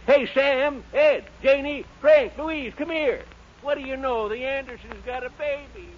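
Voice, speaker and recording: A man's voice: very high voice, unhurried. An actor playing a folksy, gossipy small-town hotel man, exclaiming in 1940s radio comedy style. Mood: astonished, gossipy. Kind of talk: 1940s radio sitcom acting. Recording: muffled, noisy 1940s radio recording.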